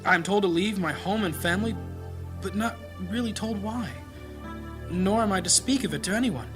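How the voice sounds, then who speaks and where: A high-pitched male priest in a fantasy audio drama played by an actor, devout and deeply troubled. He prays in earnest, halting and pleading, with prominent music behind him. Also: lightly acted. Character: troubled small-town priest, devout husband and father. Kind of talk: audio drama acting, prayerful monologue.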